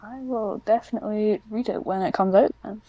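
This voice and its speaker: Mellow Scottish woman, slightly muffled dry recording. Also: medium pitch, steady tone, fairly quick, no echo. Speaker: podcast host interviewer. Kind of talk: casual interview chat.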